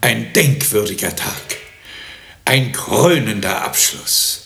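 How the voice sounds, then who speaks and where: Morbid dissector for radio play: extremely slow, gravelly, droning, ponderous. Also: male voice, lowish voice, expressive, noisy background, full character voice. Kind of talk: radio drama acting.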